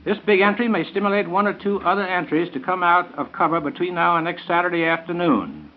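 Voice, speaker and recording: A man's voice: high voice, animated, a little fast, a little hiss or hum. An American man making scripted announcements in the style of 1930s radio. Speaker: event announcer on a radio show. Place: radio studio. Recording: tinny, narrow 1930s radio broadcast.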